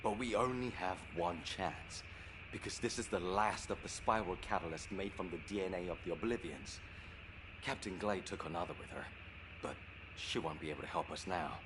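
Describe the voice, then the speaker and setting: A man playing a calm, earnest scientist. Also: low voice, moderate pace, lightly acted. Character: earnest male scientist explaining a treatment. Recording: clear background noise.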